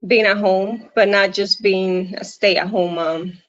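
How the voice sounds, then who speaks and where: A woman from Puerto Rico speaks with a Puerto Rican accent, English her second language after Spanish. She talks slowly and earnestly, often stopping and restarting her sentences. The audio is dry and a little dull, like a lo-fi call recording. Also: medium pitch, fairly even intonation, a bit bright, dry recording. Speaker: coaching client, working mom. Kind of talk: live coaching conversation.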